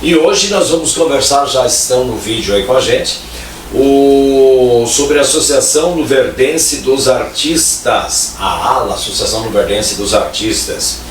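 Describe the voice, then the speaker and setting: A man's voice: medium pitch, a little slow. A man hosting a talk show, chatty and joking, often tagging his phrases with questions. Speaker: radio talk show host. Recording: echoey, distant, faint noise.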